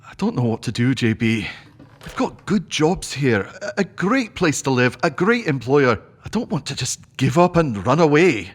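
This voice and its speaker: Man playing husky-voiced, down-to-earth working man. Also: mid-range voice, big pitch swings, normal speed. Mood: troubled. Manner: informal, down-to-earth. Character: worried, plain-spoken loyal working man, household employee. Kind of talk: British sci-fi audio drama acting.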